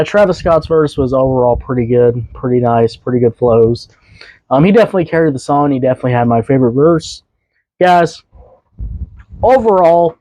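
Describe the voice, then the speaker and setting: A young man hosting a casual music review podcast, his voice a bit hoarse, rambling loosely with fillers and restarts. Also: mid-range voice, darkish tone, dry recording. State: voice slightly hoarse.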